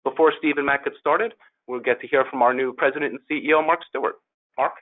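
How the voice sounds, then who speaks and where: Man, conference call host, formal scripted delivery, medium pitch, thin telephone sound. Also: fairly even intonation, fast talker. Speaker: host introducing speakers. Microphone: phone or webinar call. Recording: narrow tinny phone line.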